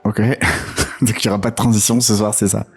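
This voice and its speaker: A man's voice: on the low side, normal speed, slightly rough, soft background music. A man co-hosting a podcast in a casual, joking style. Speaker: podcast co-host.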